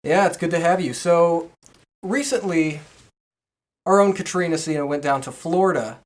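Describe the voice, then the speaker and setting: Low-voiced woman hosting a chat show. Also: moderate pace. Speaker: podcast host. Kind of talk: casual chat, interviewing a co-host.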